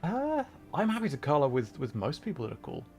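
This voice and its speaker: A man chatting casually on a livestream while playing a game, rambling and halting as he thinks aloud. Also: a little high, huge pitch swings, creaky, a little mellow, faint music behind. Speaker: livestreamer, VTuber and content creator.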